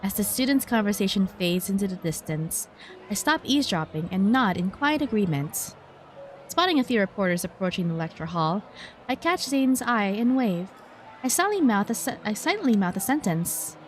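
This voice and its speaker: A woman's voice: medium pitch, sing-song, moderate pace, slight background noise. A woman streamer playing a story game, reading its text aloud and adding casual commentary. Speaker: streamer, VTuber. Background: game music.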